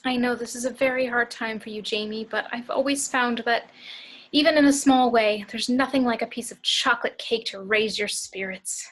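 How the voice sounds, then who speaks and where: A woman's voice: on the high side, steady tone, normal speed, fairly bright tone, low-quality recording. A woman playing a chirpy, smothering wife in an amateur script read. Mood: cheery. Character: chirpy, smothering wife eager for a happy family.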